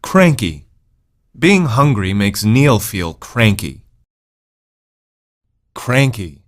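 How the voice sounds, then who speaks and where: A man narrating a scripted vocabulary lesson, deliberately stating words and their definitions in an instructional manner. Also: lowish voice, very animated. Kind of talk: scripted educational narration, word then definition.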